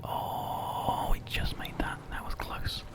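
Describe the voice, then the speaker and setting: A British man whispering breathily, very close to the mic, in a gentle, unhurried ASMR game commentary. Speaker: ASMR host and gamer. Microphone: very close microphone.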